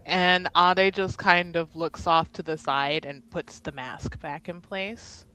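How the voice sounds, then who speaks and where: Slow-paced woman playing a tabletop game. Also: lowish voice, sharp tone, clear, resonant tone, low-quality recording. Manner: informal, swearing. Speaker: tabletop roleplaying player. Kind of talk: casual game table chat.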